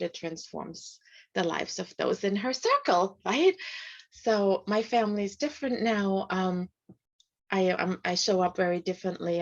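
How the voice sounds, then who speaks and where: A middle-aged woman, a life coach, speaking softly in a casual video call interview, with light laughs. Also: mid-range voice. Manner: soft-spoken, often laughs lightly. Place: home room. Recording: slightly dull video call audio.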